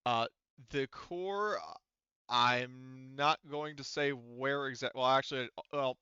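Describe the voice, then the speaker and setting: A man's voice: medium pitch, slow pace, pushed and bright, clean tone, dry recording, dull audio. A man casually running a tabletop game as game master, rambling and swearing freely.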